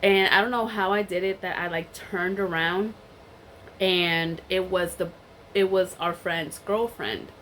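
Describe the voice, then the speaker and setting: A woman's voice: on the low side, fairly even intonation, measured pace, a bit bright, a little hiss or hum. A young woman with a cold and a stuffy voice, telling a rambling story in a casual chat while she eats. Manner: chatty and rambling, many fillers and restarts. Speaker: vlogger.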